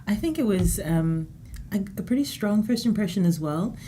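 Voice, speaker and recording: A young woman from Zimbabwe, a student guest on a panel, telling her story in a relaxed, friendly way. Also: medium pitch, moderate pace, a little hiss or hum. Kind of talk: casual chat.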